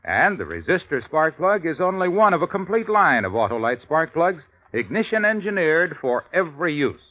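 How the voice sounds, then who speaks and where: Lively male announcer on tinny old radio. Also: a little high, moderate pace. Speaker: commercial announcer. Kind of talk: 1950s radio sales pitch.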